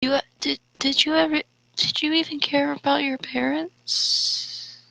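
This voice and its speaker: A woman co-hosting a comedic read-aloud, halting over the text and adding exasperated asides. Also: high voice, steady tone, creaky, bright, forceful tone, dry recording, low-quality recording. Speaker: co-host reading fan fiction aloud.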